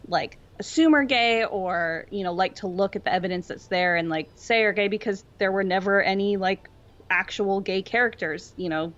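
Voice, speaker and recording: A woman's voice: mid-range voice, normal speed, fairly bright tone, dull audio. A woman speaking as a podcast guest in informal, casual chat, often peppering her sentences with "like".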